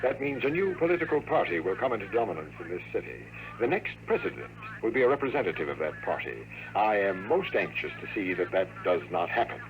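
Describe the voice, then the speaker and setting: Noisy vintage radio sound carries a scheming villain from the future. His lowish voice swings widely in pitch, while his words stay formal, precise and menacingly courteous, in old radio-drama fashion. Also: very animated, quick pace. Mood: smoothly formal. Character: scheming, power-hungry male time-traveler villain, coolly formal. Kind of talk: 1950s radio drama acting.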